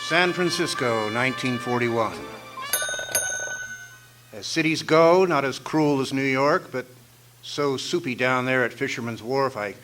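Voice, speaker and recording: An actor playing a wry, deadpan hardboiled private detective, narrating and trading lines in an old-time radio noir style. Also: male voice, mid-range voice, measured pace, pauses often, music in the background, lightly acted. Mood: wry narration. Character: wisecracking hardboiled 1940s private detective, narrating his own case. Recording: faintly noisy live stage recording.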